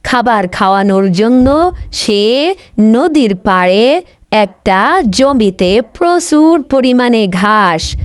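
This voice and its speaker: A woman telling a folk tale as a scripted storyteller. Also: mid-range voice.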